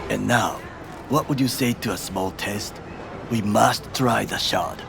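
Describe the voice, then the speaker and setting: An actor playing a stern, disciplined older bodyguard in a video game, speaking formally and precisely with a Japanese accent. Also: male voice, on the low side, unhurried, vocal fry, over music. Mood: focused, commanding. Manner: formal, clipped, uncontracted phrasing. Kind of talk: video game cutscene acting.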